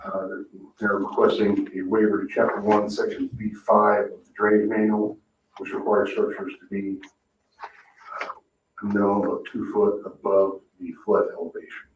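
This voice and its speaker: Muffled, echoey man giving a monotone staff report. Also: lowish voice. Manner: matter-of-fact, halting. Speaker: town staff member presenting to a board. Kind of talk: procedural staff report at a public meeting. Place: indoor municipal meeting room. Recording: muffled, echoey, noisy room, distant mic.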